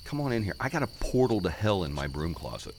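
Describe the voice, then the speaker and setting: A man's voice: lowish voice, big pitch swings, fast, vocal fry, darkish tone, long reverb tail, a little hiss or hum. A man acting in a radio play as a genial, mischievous guide with a folksy, wry, conversational manner. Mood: coaxing, jovial. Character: genial, mischievous man guiding visitor into hell.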